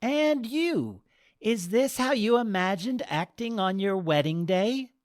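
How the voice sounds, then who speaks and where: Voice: mid-range voice, expressive, takes it slowly, voice pushed up. A storyteller voicing a kind but mischievous elderly goddess. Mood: kindly. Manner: gentle, playful.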